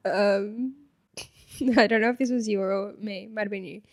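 A woman's voice: medium pitch, fairly even intonation, measured pace. A young woman co-hosting a podcast, casually reading hate comments aloud and laughing often. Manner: giggly, reads comments aloud with mock voices. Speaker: podcast co-host.

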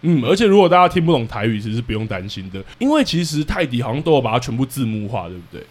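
Fast-talking Taiwanese man hosting a podcast. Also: mid-range voice, big pitch swings. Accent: Taiwanese Mandarin. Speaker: podcast host. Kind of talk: casual podcast interview.

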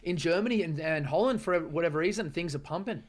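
A young man, a guest musician, chatting casually in a radio interview with quick restarts. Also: a little high. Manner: fast, easygoing, restarts.

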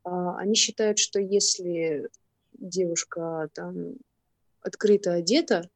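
A woman from Irkutsk, a guest on a casual podcast, speaking in an outspoken, indignant way with hesitant pauses. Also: fairly low pitch, fairly even intonation, a little mellow, dry recording. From Russia, Irkutsk.

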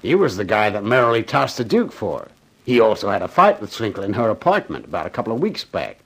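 A shrewd homicide lieutenant in a vintage radio mystery. His low voice moves widely in pitch. He is blunt and sardonic, with dry, slangy delivery. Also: sing-song, quick pace, a bit bright, heavily acted. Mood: businesslike. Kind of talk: 1950s radio detective drama acting. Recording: vintage 1950s radio recording.

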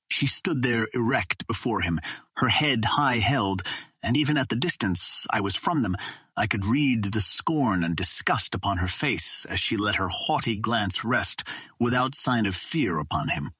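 A man narrating a pulp adventure novel in a measured, dramatic storytelling manner. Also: fairly low pitch, a bit bright, slightly rough, no echo. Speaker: audiobook narrator.

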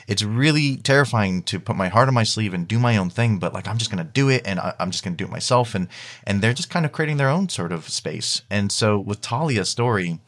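Male podcast guest, very low voice, informal and conversational, voices imagined quotes. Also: expressive, fairly quick, a bit bright. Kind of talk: casual interview chat.

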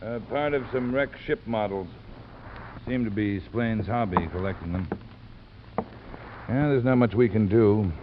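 Deep, gruff American police sergeant played by a man. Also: measured pace, long pauses, natural voice. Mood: weary, matter-of-fact. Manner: flat, matter-of-fact, curt. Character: weary, gruff male New York homicide sergeant. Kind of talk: 1950s radio detective drama acting. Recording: muffled, faintly noisy 1950 radio recording.

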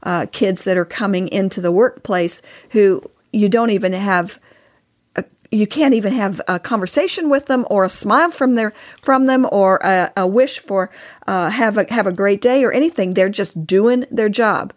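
A woman's voice: medium pitch, moderate pace. Earnest older woman in conversation. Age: older, past sixty-four. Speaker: podcast guest, author and co-host spouse. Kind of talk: casual advice conversation.

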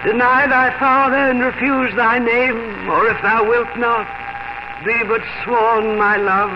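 An older woman playing a wry, settled small-town mother in a 1950s radio drama, measured and gently hesitant. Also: fairly high pitch, level tone, close to an everyday voice. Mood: theatrical. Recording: noisy, dull 1950s radio recording.

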